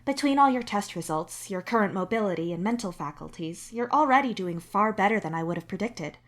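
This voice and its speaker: Woman voicing a kindly, measured alien doctor. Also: medium pitch, lively intonation, close to an everyday voice. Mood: kindly, professional. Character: friendly, reassuring alien doctor, gently cautioning.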